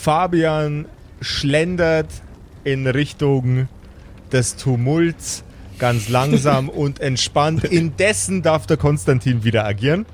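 A man's voice: fairly high pitch, takes it slowly, slight background noise. A man, narrating an improvised tabletop game as game master.

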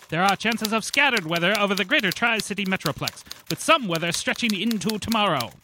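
A man plays a deadpan 1940s radio newsman. His high voice reads clipped, urgent bulletins over clear noise and clattering typewriter keys. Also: natural voice. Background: typewriter clicks.

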